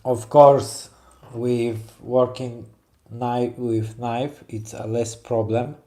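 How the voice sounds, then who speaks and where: A man's voice: medium pitch, level tone, very slow, mellow. A man from Poland with a Polish accent, a woodcarver who chats while he works and keeps stopping to search for English words. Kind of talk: casual chat while carving.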